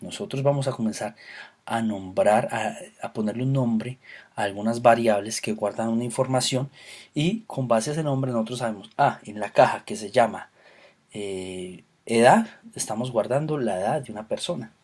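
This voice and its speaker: A man teaching an introductory programming course. His voice is husky and a little rough at the edges, at a middle pitch for a man. He explains step by step, with filler sounds and quick self-corrections. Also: a little mellow. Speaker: programming instructor. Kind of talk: recorded lecture.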